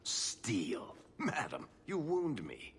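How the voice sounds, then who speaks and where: An actor playing a roguish, teasing smooth talker in a video game, wry and playful with mock offence. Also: male voice, medium pitch, wildly swooping pitch, extremely slow, slight background noise, full character voice. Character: roguish, teasing, smooth-talking storyteller dwarf. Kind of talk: video game cutscene acting.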